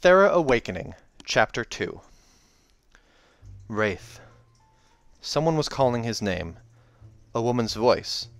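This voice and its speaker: A man's voice: mid-range voice, sing-song, takes it slowly, long pauses, no room sound. A man hosting a read-along, narrating a novella expressively with casual asides. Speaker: host reading aloud and reacting. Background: video game soundtrack music.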